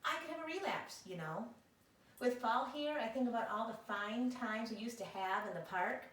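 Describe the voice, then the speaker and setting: A woman's voice: on the high side, expressive, moderate pace, a bit bright. A woman voicing a melodramatic, self-pitying male pet dog with theatrical, wheedling complaints in a picture-book read-aloud. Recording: echoey room, distant microphone.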